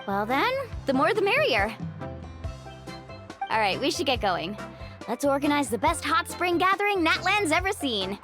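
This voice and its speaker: Bubbly, high-voiced young take-charge game heroine. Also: female voice, sing-song, normal speed, pauses often. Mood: upbeat, friendly. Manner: breezy, take-charge, chatty. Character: spirited, bossy, friendly young woman organizer. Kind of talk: video game voice acting. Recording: game music behind the voice. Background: game music.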